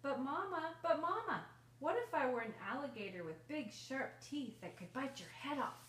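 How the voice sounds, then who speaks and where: A woman's voice: sits high, animated, normal speed, a bit bright, voice pushed up. A woman voicing a small, imaginative storybook child who asks questions in an eager, insistent way. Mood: pleading, curious. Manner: eager, pleading, exaggerated questioning. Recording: roomy, distant mic, faint noise.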